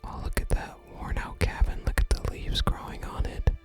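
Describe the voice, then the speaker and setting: Someone whispers softly and gently through an ASMR video game playthrough, making unhurried remarks. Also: over music. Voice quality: unvoiced whisper. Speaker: game streamer.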